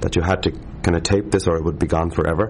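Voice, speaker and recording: Fast-talking deep-voiced man. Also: slight background noise. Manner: animated, rambling, many restarts. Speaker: harpist, interview guest. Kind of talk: casual interview chat.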